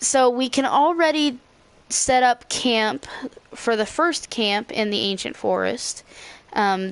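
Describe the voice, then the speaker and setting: A woman's voice: mid-range voice, a bit bright. A young woman giving casual, rambling gameplay commentary as a let's play host. Her voice is slightly scratchy. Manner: rambling, with frequent fillers and self-corrections.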